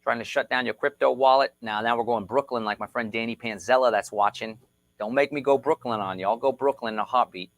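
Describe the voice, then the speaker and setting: A man hosting a casual livestream explainer, talking in an energetic, repetitive, rallying way. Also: medium pitch, brisk, vocal fry. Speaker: host. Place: Texas.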